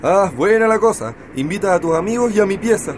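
Laid-back Chilean older brother. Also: male voice, sits high, lively intonation, brisk, background noise. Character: laid-back university student older brother, teasing, bossy. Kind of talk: educational radio drama acting.

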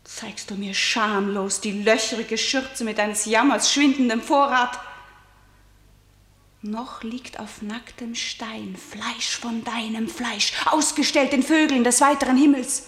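A woman's voice: on the high side, steady tone, slow, a bit bright. A woman playing a defiant, grieving sister in a classical tragedy radio play, delivering verse in a solemn, stylized way. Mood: scornful. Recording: echoey room sound.

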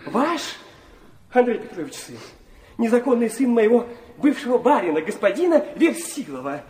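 An actor playing a proud, wounded teenage illegitimate son in a radio drama, speaking in a passionate, bitter, declamatory way. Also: male voice, extremely high pitch, very animated, moderate pace, a little mellow. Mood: bitter, accusing.

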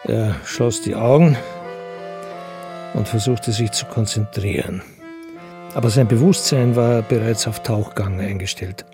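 A man narrates a radio drama in a creaky, gravel-edged voice. His reading is scripted storytelling, with instrumental music playing behind him. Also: lowish voice, measured pace, pauses often.

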